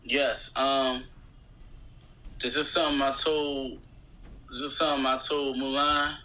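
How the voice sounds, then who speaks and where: A man's voice: medium pitch, flat delivery, measured pace, sharp tone, low-quality recording. An American man chatting casually as a podcast guest, using slang and repeating phrases for emphasis. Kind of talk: casual chat.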